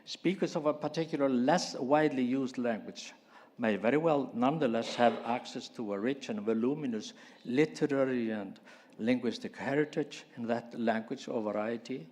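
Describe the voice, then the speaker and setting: A man giving a formal, measured conference talk and pausing often. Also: medium pitch, darkish tone. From Iceland. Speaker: conference speaker.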